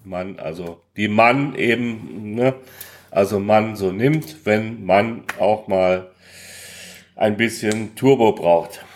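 A man co-hosting a podcast, talking casually and colloquially about food and travel, with halting pauses. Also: on the low side. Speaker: podcast co-host.

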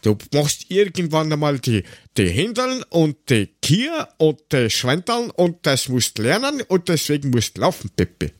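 A man's voice: a little high, very animated, moderate pace, dry recording, studio quality, pitched above the performer's own voice. A man voicing a stern, grumbling official with a Bavarian accent, lecturing in a comic podcast sketch.

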